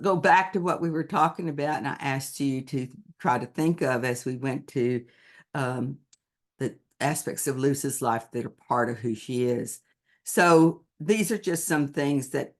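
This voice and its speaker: A woman who writes picture books, giving an online presentation to teachers in a thoughtful, explanatory way. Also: a bass voice.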